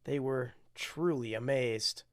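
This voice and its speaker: A person reading picture books aloud in a theatrical way, dropping into muttered deadpan asides. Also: mid-range voice, slow pace. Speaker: storybook reader.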